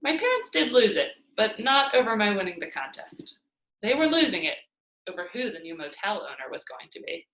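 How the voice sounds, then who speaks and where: Bright, animated woman reading a story aloud. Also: medium pitch, normal speed. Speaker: read-aloud narrator. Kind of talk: expressive children's novel read-aloud. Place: indoors at home by a fireplace. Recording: roomy home recording.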